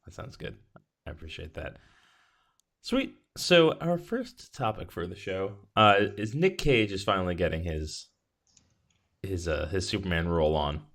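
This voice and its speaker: A man co-hosting a podcast, chatting casually in a playful, rambling way. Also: deep voice. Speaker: podcast co-host. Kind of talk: casual chat.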